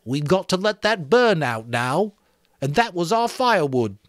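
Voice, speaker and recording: A man's voice: sing-song, a little slow, no room sound, studio quality. A man voicing a whiny, indignant, frightened schoolboy in a dramatic novel reading. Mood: scared. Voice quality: whiny, high-pitched. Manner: indignant, hurt, reproachful, ungrammatical.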